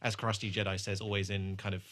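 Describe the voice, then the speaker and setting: Guy on a podcast, kinda low but bright voice, casual sweary chat about tv. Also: flat delivery, quick pace. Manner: informal, sweary. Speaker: podcast host. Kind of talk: casual chat reviewing a TV episode.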